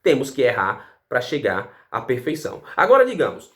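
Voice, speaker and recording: A man's voice: mid-range voice, moderate pace. A Brazilian man giving a casual language lesson, asking rhetorical questions and adding "tá?" to his sentences. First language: Portuguese. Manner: animated, rhetorical questions, frequent "tá?" tags. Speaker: language teacher.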